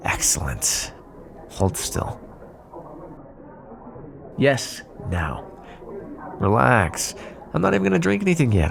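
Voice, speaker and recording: Man acting a sly, gravelly male vampire. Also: on the low side. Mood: bossy, scheming. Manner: sarcastic, teasing, drawling asides. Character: sly teasing male vampire bargaining with his hunter rival. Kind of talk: ASMR roleplay, intimate scripted monologue.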